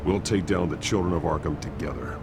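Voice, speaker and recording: A man's voice: low voice, a little fast, background noise, natural voice. An actor playing a grim, brooding masked male vigilante in a video game, speaking in terse, clipped lines.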